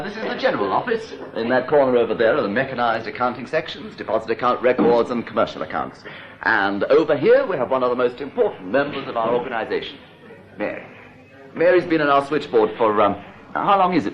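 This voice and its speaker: An actor playing an affable, slightly evasive assistant bank manager in a radio drama, informal and hesitant. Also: male voice, medium pitch, very animated, a little fast, lightly acted. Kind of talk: British radio drama acting. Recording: dull, noisy radio recording.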